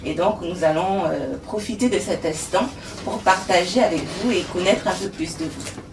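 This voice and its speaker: A woman's voice: lowish voice, normal speed. A woman hosting a TV interview show, speaking in a formal, flowery way. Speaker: TV show host. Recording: echoey room, distant mic, faint noise.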